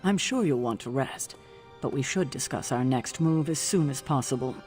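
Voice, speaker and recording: Game casting: authoritative, stern older female guardian, deliberate and grave, music underneath. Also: extremely low pitch, lively intonation, a little mellow. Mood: dry, businesslike. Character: stern, commanding older woman, immortal guardian of an abbey. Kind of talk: video game cutscene acting.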